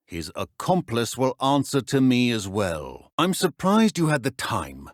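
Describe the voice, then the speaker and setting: An actor playing a stern, dry-witted middle-aged British potions professor in a video game, speaking in a measured, exacting, deadpan manner. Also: male voice, on the low side, dry recording. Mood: stern, disapproving. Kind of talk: scripted game dialogue.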